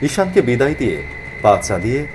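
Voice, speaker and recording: Animated male horror narrator, mid-pitched. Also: a little fast, noisy background. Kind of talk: dramatic scripted horror story narration.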